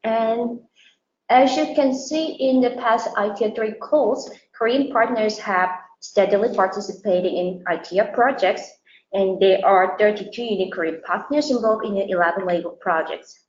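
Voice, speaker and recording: Female webinar presenter, steady delivery, echoey lo-fi sound, faint noise. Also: mid-range voice, normal speed. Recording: roomy, dull lo-fi sound with faint hiss.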